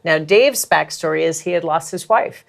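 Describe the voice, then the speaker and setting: Female guest, slightly low voice, conversational personal storytelling. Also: normal speed, vocal fry. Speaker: talk show guest.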